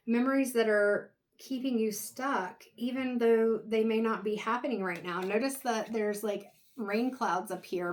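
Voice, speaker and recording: A woman reads tarot in a relaxed, wandering way, speaking straight to her viewer as she turns each card. She is losing her voice, so it sounds a little strained and she clears her throat now and then. Her pitch sits in a plain middle range. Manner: meandering, reflective, talks directly to the viewer. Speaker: tarot reader. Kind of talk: casual intuitive tarot reading.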